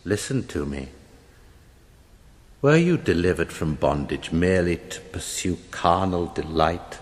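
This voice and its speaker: An actor playing the stern, solemn spirit of a holy hermit in a radio drama, speaking gravely and commandingly. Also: male voice, low-pitched, expressive. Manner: slow, grave, admonishing. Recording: long cavernous reverb.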